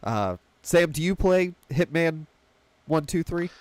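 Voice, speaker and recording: A man's voice: fairly high pitch, expressive, takes it slowly, darkish tone, no room sound. A man hosting a casual, conversational discussion about films in an informal way. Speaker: podcast host. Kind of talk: casual film discussion chat.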